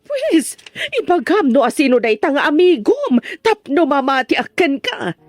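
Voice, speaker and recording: A woman's voice: sits high, big pitch swings, moderate pace. A woman playing a jealous, accusing wife in a drama serial, with an Ilocano Filipino accent. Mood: angry, accusing. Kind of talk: Ilocano drama series voice acting.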